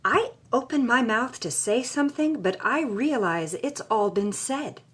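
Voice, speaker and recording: A woman's voice: a little high, animated, a little slow, a bit bright, husky, heavily acted. An actress playing a hard-boiled young woman who recounts her past in a wry, anguished audio drama narration. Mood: wry, resigned.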